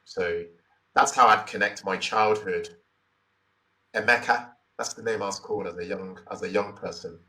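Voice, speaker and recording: A man's voice: fairly low pitch, normal speed, pushed and bright, distant mic, poor audio. A Nigerian man in his forties, telling his story as an interview guest in a thoughtful, reflective way with many "you know" fillers. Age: 40s.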